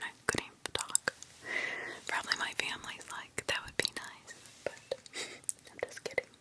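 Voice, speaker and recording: A woman telling ghost stories in a slow, unhurried whisper. Her breathy voice sounds very bright and crisp, and she stops now and then to react with shocked, half-joking disbelief. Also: low-quality recording. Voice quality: whispered throughout. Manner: reacts with mild swearing and disbelief between stories. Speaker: ASMR storyteller reading scary stories. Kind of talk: ASMR.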